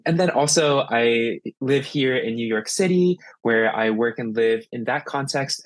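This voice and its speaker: A man's voice: fairly low pitch, no echo. Young male podcast guest, from ohio, reflective, lots of filler words, dry close sound. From Ohio, United States. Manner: reflective, heavy use of "like" and "right?". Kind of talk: casual interview.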